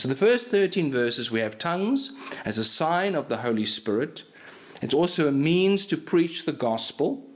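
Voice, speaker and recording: A man preaches a sermon in a small church hall. His is Afrikaans, and he talks animatedly into a stand microphone. His thoughts tumble out with frequent restarts. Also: medium pitch. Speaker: pastor. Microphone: stand mic in front of him.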